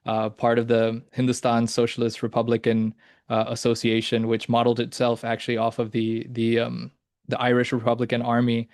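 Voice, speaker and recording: A young man hosting a podcast, talking in a halting way with many fillers and restarts. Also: mid-range voice, creaky, a little mellow, dry recording, clean studio sound. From Hong Kong and mainland China. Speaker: podcast host. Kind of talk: casual discussion.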